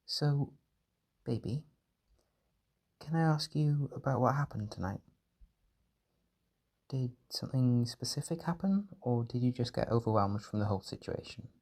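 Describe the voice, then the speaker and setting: Soft, husky man, soothing and tender. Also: medium pitch, lively intonation, unhurried, long pauses. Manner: tender, soothing, reassuring, pet names. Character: gentle, doting male caretaker soothing an overwhelmed partner. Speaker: audio roleplay performer. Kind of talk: comfort audio roleplay, soft and intimate.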